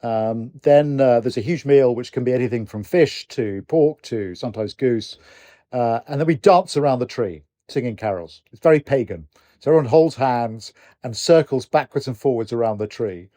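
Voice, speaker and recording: A man's voice: medium pitch, normal speed, mellow, dry recording. An older man whose first language is Norwegian, co-hosting a podcast in casual chat, hesitant with many restarts. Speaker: co-host, retired general.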